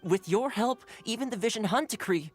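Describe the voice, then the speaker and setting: A man's voice: sits high, expressive, moderate pace. A man voicing an affable young clan attendant and fixer in a video game, courteous, easygoing and teasing, with music behind him. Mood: earnest.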